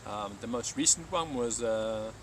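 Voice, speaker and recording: A man's voice: medium pitch, a little slow, recorded from a distance, background noise. A man speaking as a teacher hosting a live stream, reading aloud and talking informally.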